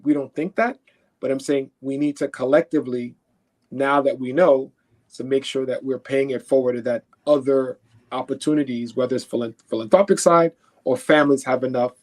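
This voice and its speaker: A man's voice: mid-range voice, steady tone, brisk. Conversational man from Haiti, emphatic explainer. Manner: explains through imagined first-person quotes; emphatic, often checking with "right?". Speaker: financial expert guest. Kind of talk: conversational interview answers.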